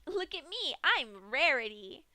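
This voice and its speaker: A woman putting on a giddy, cheerfully cruel pink pony mare. The voice rides high and bright with a bouncy singsong lilt. It sounds dry and close to the mic. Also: very animated, unhurried, no room sound, exaggerated voice. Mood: giggly. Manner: bubbly, singsong, punning playfully.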